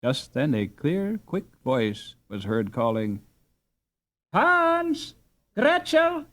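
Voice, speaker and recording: A man reading a story aloud as an audiobook narrator, in an expressive, dramatic storytelling manner. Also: medium pitch, takes it slowly.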